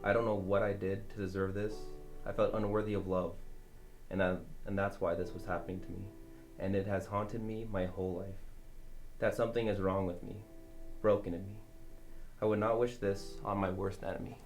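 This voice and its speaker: A man's voice: deep voice, level tone, moderate pace, darkish tone, soft background music. A young adult man, a student, reading a personal account aloud. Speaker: student reader.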